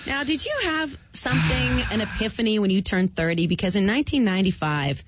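A woman's voice: medium pitch, lively intonation, a little fast, husky. Teasing female co-host, bouncy intonation, dull podcast audio with faint music. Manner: teasing, playful, laughs often. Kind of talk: casual podcast chat.

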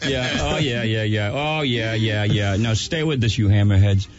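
A man voicing a crude, grumpy older Boston Irish man with a Boston accent, ranting in a gruff, jokey way on slightly muffled radio audio. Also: lowish voice, moderate pace, faint music behind, pitched below the performer's own voice, natural voice.

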